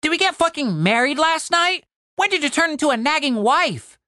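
A sarcastic little halfling man, played by a woman, pitched high and swinging all over. He is crude, whiny and exasperated, always ready to argue in a comic fantasy audiobook, in a very dry recording. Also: animated, moderate pace, bright, forceful tone, no room sound. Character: sarcastic exasperated wisecracking male halfling adventurer.

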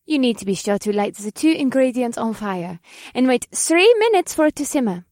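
A woman playing a perky, poised witch professor in an audiobook, bright and encouraging in manner, with polite, eager delivery. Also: a little high, big pitch swings, fairly quick, no room sound, studio quality. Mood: instructive. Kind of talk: audiobook character dialogue.

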